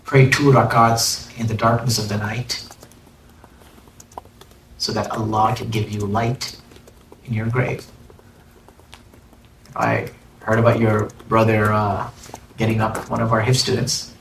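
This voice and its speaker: A man leads a religious lesson, switching between quick recited Arabic and relaxed spoken explanation. He sits back from the microphone in a reverberant room with a faint hiss underneath. His pitch is middle-of-the-road for a man. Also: creaky. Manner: conversational, breaks into brisk Arabic recitation. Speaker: religious teacher. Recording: echoey room, distant mic, faint noise.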